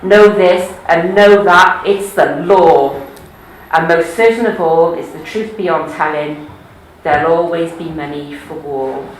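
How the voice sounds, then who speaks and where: A woman's voice: on the low side, measured pace, very noisy. Woman with a cardiff accent reading poems. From Wales. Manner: lively, chatty asides between poems. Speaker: poetry reader. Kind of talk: live poetry reading. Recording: echoey room.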